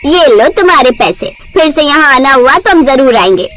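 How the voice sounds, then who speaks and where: A woman plays a mother eating out with her family in a moral tale. She is blunt yet appreciative, in a fairly high voice. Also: very animated, moderate pace, a bit bright, soft background music. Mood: pleased.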